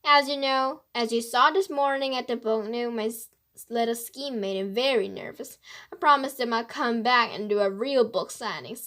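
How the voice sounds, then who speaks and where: A mischievous woman writer from a children's mystery, as voiced by a woman reader. Her voice is on the high side, and she explains herself with playful, animated emphasis. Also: normal speed, lightly acted. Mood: confiding.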